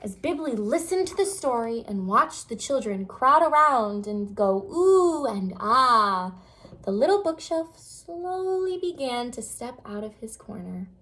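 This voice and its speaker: A woman reading a children's picture book aloud with expressive, dramatic storytelling phrasing. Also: fairly high pitch, unhurried.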